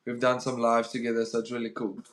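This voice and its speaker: A young man with an Afrikaans accent, hosting a relaxed livestream chat. His voice sits at a middle pitch for a man and rings in a roomy, echoey space. He stalls often, restarting his words and filling gaps with um. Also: fast, a crowd in the background. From South Africa. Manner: hesitant, repeats words, says um often. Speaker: livestream host. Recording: roomy echo.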